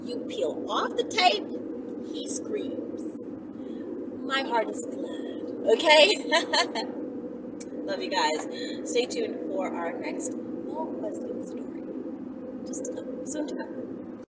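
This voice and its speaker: Casting: female channel host, slightly high-pitched, emphatic and chatty, recorded at a distance under heavy noise. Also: very animated, slow pace, long pauses. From Bahamas. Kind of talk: casual monologue to viewers. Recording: distant mic, loud vehicle-like background noise.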